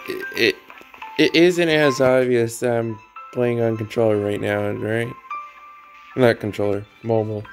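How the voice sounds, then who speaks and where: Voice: medium pitch, steady tone, a little slow. A game streamer giving casual commentary, offhand and half muttering to themselves. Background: faint game music.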